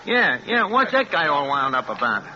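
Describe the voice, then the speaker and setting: A man's voice: fairly high pitch, very animated, normal speed. An actor playing a boastful small-time crook who talks in tough-guy street slang, in an old radio drama. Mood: curious. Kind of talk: 1940s radio acting. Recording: dull old radio broadcast, faint hiss.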